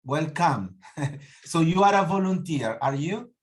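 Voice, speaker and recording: Man from Peru, meeting host, fairly high voice, friendly and joking, video call. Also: animated, unhurried. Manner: friendly, joking, repeats words. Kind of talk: casual online meeting hosting.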